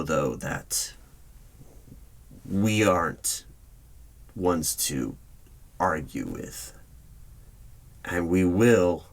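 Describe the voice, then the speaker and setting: A man playing a polite, guarded hiring manager in an ASMR interview roleplay, speaking aloud in a measured, formal, deliberate way with pauses. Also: low voice. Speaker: roleplay performer as job interviewer. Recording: steady noise with keyboard typing clicks. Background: computer keyboard typing and clicks.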